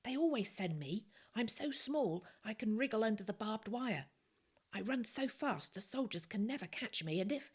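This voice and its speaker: A woman's voice: a little high, animated, normal speed, breathy edge, voice pushed up. A woman voicing a small, cheeky, secretive orphan boy in a storybook reading, speaking in short matter-of-fact phrases. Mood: cheeky, boastful.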